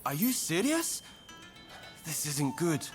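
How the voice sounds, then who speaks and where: A man voicing a polite, world-weary photographer in a video game, with a measured, dry delivery. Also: on the high side, sing-song, soft background music. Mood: concerned. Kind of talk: video game cutscene acting.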